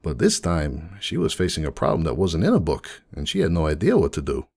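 A man's voice: extremely low pitch, huge pitch swings, fairly quick, vocal fry, darkish tone, full character voice. A man voicing a friendly, nostalgic unicorn donut shop owner in a dramatic fan fiction reading, chatty and folksy like someone reminiscing. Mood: fond, reminiscing.

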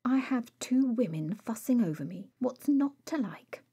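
A woman's voice: a little high, expressive, measured pace, warm tone, slightly rough, pitched above the performer's own voice, heavily acted. A woman voicing a petulant, teasing male vampire with a whiny, indignant way of protesting, in an audio story. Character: petulant, defiant, teasing male vampire, reckless party lover.

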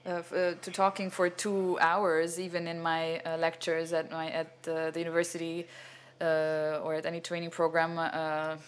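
Older man, halting lecture, fillers, stand mic on a cinema stage. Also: a little high, monotone, clean tone. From Venezuela. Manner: hesitant, many fillers and restarts, gestures broadly. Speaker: cinematographer giving a masterclass. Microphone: stand microphone.

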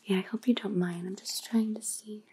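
A woman's voice: medium pitch, fairly even intonation, moderate pace, mellow, husky. A young woman playing an aloof, trend-obsessed vampire housemate in an ASMR roleplay, drawling casually and often whispering. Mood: bossy, casual. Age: young adult. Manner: casual valley-girl drawl full of "like".

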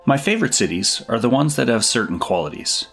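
A man hosting a podcast, reading a scripted intro in a measured, even way and asking interview questions. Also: mid-range voice, creaky, breathy edge, soft background music. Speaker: podcast host.

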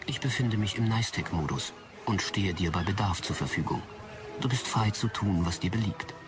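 An actor playing a polite, obliging digital assistant in an audio drama, speaking formally. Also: male voice, on the low side, moderate pace, recorded from a distance, music in the background, lightly acted. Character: polite, servile digital assistant called Mia. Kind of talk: German audio drama acting.

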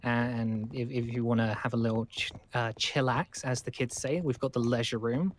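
A man's voice: mid-range voice, fairly even intonation, moderate pace, slight background noise, lightly acted. A man playing a polite, awkward school survivor in a game roleplay, stammering and apologetic as he rambles. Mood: hesitant.

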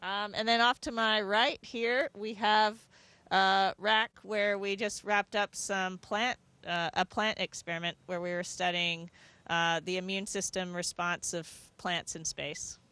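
A woman's voice: medium pitch, fairly even intonation, measured pace, pushed and bright, no echo. A woman, around her forties, speaking as an astronaut in an interview, explaining things conversationally into a handheld microphone. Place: inside a space station module.

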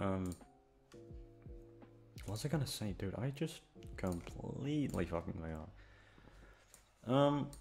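Casting: male channel host, slightly low pitch, casual outro ramble, scattered, sheepish, sweary. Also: creaky, dark tone. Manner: awkward, self-deprecating, swearing, scattered. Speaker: audio roleplay voice actor, channel host.

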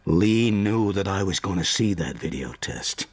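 Radio drama: exacting veteran American film director, low-pitched, deliberate, probing, with widely varied intonation. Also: male voice, expressive, husky, exaggerated voice. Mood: intense, commanding. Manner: deliberate, probing, punctuates with checking questions. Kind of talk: naturalistic radio drama acting.

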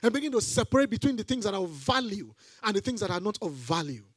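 A man's voice: sits high, animated, quick pace, no room sound. A middle-aged man preaching a sermon on a church stage into a handheld mic, building to emphatic repeated phrases. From Nigeria.

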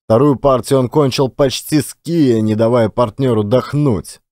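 A man narrating a literary story as an expressive audiobook performer. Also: mid-range voice, moderate pace, no echo, clean studio sound.

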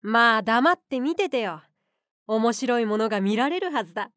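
A woman's voice: a little high, animated, normal speed, natural voice. A woman voicing a small boyish unicorn guardian beast in an anime-style voice drama, speaking as a composed, knowing mentor. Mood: playful.

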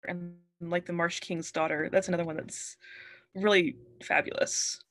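A woman's voice: lowish voice, fairly even intonation, normal speed. A woman in her thirties speaking as a guest author, chatting casually in a hesitant, thoughtful way over a video call. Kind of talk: casual chat. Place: room at home, video call. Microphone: wired earbuds headset.

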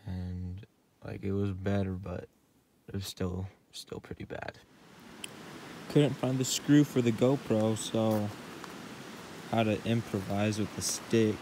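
A young man vlogging a solo camping trip in a laid-back, deadpan way, talking to a handheld action camera from his hammock and his truck. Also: on the low side, slow pace, pauses often. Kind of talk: casual solo camping vlog. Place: outdoors in a hammock, then inside a truck cab. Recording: action camera with outdoor noise.

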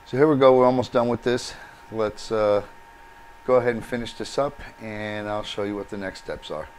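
A man's voice: fairly low pitch, moderate pace, a little mellow, a little hiss or hum. A man hosting a do-it-yourself video, explaining each step as he works with his hands at a workbench in his home workshop. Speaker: DIY channel host. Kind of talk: hands-on how-to demonstration.

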